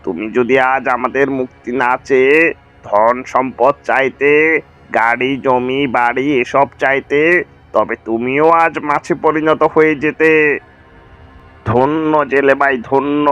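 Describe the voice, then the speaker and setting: A man's voice: mid-range voice, fairly bright tone, smooth, natural voice. A man voicing a grateful, obliging magical fish that grants wishes in a cartoon folk tale. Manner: obliging, full of praise. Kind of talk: animated folk tale acting.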